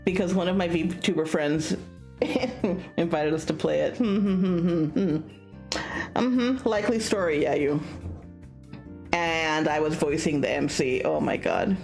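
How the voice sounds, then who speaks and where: A woman streamer with a playful, animated manner, reading a visual novel aloud with casual asides. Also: low voice, slow, gravelly, faint music behind.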